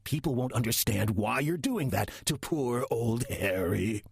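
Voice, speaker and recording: An actor playing a wry, lazy old male demon who poses as a churchgoer in an audio drama, gravelly, husky and theatrically sardonic. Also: mid-range voice, lively intonation, unhurried, no room sound, clean studio sound, heavily acted. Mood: wary, cajoling. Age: older.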